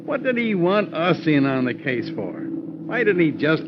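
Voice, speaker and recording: A grumpy, folksy police inspector from an old radio mystery. His fairly high voice grumbles in gruff slang on a muffled recording with clear background noise. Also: very animated, a little slow. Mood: puzzled. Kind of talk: old-time radio drama acting.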